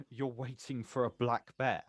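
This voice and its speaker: A man's voice: medium pitch, level tone, unhurried, slightly rough, dry recording. Hesitant, wry male poet, played by an actor. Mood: wry, curious. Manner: questioning, stammering when unsure. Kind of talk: stage play acting, quiet two-hander.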